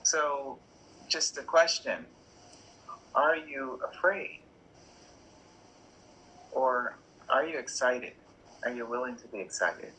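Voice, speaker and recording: Slow, bright-voiced woman coaching. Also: a bass voice, low-quality recording. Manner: slow, thoughtful, poses questions to listeners. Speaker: workshop leader, interview guest. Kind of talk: reflective coaching talk.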